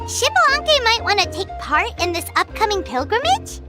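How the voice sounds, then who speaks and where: A woman voicing a tiny, chirpy childlike fairy companion with a squeaky voice in a video game. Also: big pitch swings, normal speed, over loud music. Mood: curious. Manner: perky, speaks of self in third person.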